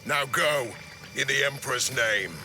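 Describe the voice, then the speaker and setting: A man playing a stern, imperious grandmaster of a sci-fi knightly order in a video game, speaking in solemn, archaic phrases with a gravelly voice. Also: mid-range voice, measured pace, noisy background, lightly acted. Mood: commanding. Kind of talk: dramatic video game voice acting. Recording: loud music under the voice.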